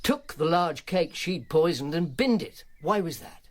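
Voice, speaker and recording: A man's voice: on the high side, animated, unhurried, no echo. An actor playing a weary, sardonic male informer in ancient Rome, narrating with dry understatement in a radio drama.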